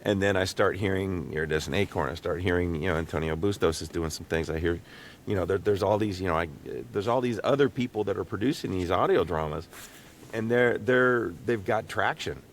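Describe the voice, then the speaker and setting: A man with a deep voice hosts a casual podcast chat. His delivery rambles and stops and starts as he works out his thoughts. The voice has a lot of fry, and there is faint noise in the small booth. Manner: halting, rambling, thinking aloud with repeated words. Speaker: podcast host and voice actor. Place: small home recording booth.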